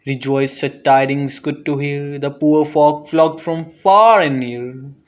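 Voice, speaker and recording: A man giving a dramatic, deliberate recital of a narrative poem, with theatrical intensity. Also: on the high side, fairly even intonation, muffled recording. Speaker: poetry reader.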